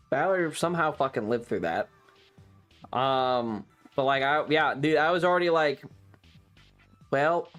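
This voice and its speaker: A man chatting casually to stream viewers, telling stories emphatically with heavy swearing and repeated phrases. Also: on the high side. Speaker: livestreamer. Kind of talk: casual chat, animated storytelling to stream viewers.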